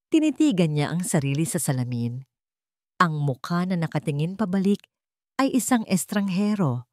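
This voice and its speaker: A woman audiobook narrator reading a dramatic story with suspenseful, deliberate phrasing. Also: lowish voice, dark tone, no room sound, clean studio sound.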